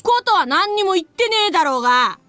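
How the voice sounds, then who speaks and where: A woman voicing a hot-tempered young boy in an anime-style game, with rough, blunt speech and a sore loser's temper. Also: very high voice, very animated, very fast, bright, forceful tone, no echo, full character voice. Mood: irritated. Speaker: visual novel voice actor.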